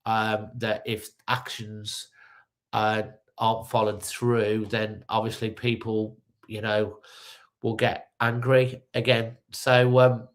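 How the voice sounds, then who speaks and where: Brief: stuffy-nosed middle-aged man, low and flat, chatty um-filled fan update. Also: slow, poor audio. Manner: rambling, many ums and restarts. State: stuffy nose from a cold. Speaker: fan channel host. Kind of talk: casual live-stream update.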